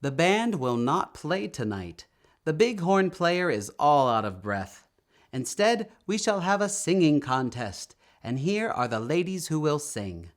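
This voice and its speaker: Man reading as a formal, animated male announcer. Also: on the high side, measured pace. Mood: formal, announcing. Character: formal male announcer addressing a park crowd. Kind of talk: storybook character reading.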